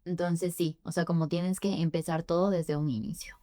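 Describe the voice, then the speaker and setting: A woman's voice: fairly low pitch, fairly even intonation, moderate pace, warm tone, no room sound, clean studio sound. A young adult woman from Ecuador, a guest in a podcast interview, answering thoughtfully with frequent hesitations. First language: Spanish. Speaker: immigration forms preparer, guest.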